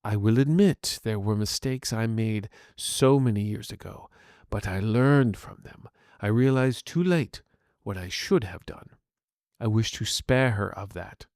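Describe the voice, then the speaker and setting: A man voicing an aging wizard villain in a fantasy story, speaking formally with proud, measured scorn. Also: fairly low pitch, darkish tone, no echo, studio quality. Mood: regretful, measured.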